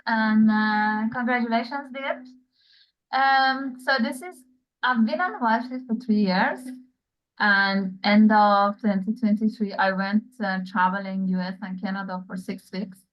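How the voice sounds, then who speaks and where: A woman from the Netherlands, speaking in a friendly, halting way on a video call. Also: medium pitch, highs cut off.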